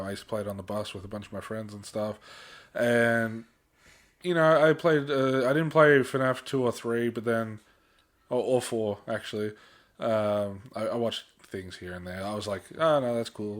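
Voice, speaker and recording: A young man co-hosting a podcast, talking casually and candidly with slang and self-corrections. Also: on the low side. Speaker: podcast co-host. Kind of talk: casual film discussion.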